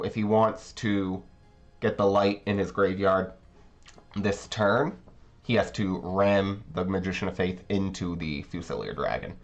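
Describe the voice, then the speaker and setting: A man giving casual commentary on his card game matches, thinking aloud and explaining his plays, with a slightly congested voice. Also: deep voice. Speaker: card game channel host. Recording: slightly dull recording, faint background music.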